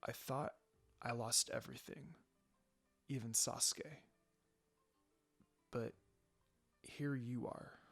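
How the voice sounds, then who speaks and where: A quiet young male ninja from an anime fan story, acted out by a male reader. He is brooding yet tender, with a wry streak and a soft, understated delivery. Light music sits behind him. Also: mid-range voice, fairly even intonation, takes it slowly, vocal fry, pauses often, husky, voice pushed down, heavily acted. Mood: tender, sorrowful.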